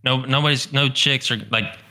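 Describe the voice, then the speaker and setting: A young man co-hosting a casual podcast chat, talking in a monotone, blunt way with a crisp, soothing voice and frequent swearing. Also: mid-range voice, moderate pace. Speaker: podcast co-host.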